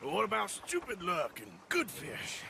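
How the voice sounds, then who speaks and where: Western game gunman, male: gruff, laconic, teasing outlaw, raised pitch, outdoor noise behind. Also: huge pitch swings, moderate pace, full character voice. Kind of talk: cinematic western game acting.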